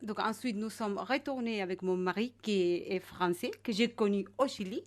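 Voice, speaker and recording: A woman's voice: mid-range voice, moderate pace. A native Spanish-speaking woman with a Chilean accent, answering a radio interview as a guest, searching for words in hesitant non-native phrasing. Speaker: interview guest, image coach.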